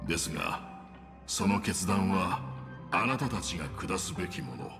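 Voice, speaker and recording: A gentle old wanderer from a game, with a low voice and polite, reflective phrasing. The voice rings with long reverb, and music plays clearly behind it. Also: male voice. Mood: solemn, gentle. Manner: polite, measured, reflective speech. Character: gentle, polite old male trailblazer looking back on life. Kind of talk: Japanese game voice acting.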